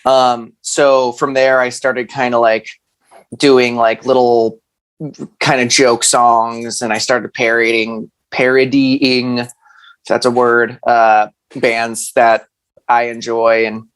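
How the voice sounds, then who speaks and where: Male podcast guest, medium-pitched, conversational and self-effacing, feeling his way through sentences in relaxed chat. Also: smooth. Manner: halting, self-deprecating, conversational. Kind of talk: casual chat.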